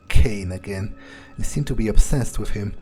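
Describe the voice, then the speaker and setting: A man doing in-character commentary for a video game playthrough, speaking in a hesitant, low-key, musing way. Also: a little slow, dark tone. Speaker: gaming video creator role-playing the player character. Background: game music.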